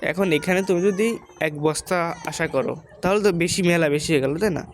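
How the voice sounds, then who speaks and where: A woman's voice: on the low side, normal speed, slight background noise. A woman with a Bangladeshi accent giving informal moral advice in a didactic, questioning way, repeating her points.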